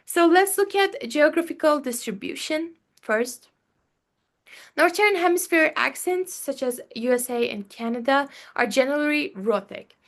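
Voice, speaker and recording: A young woman with a Turkish accent presents for a class. Her voice sits high, and she talks hesitantly, filling gaps with "uh" and "like" and checking in with "okay?" as she goes. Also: lively intonation, unhurried, fairly bright tone. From Turkey. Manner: hesitant, many fillers and restarts, checks in with "okay?". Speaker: student presenter.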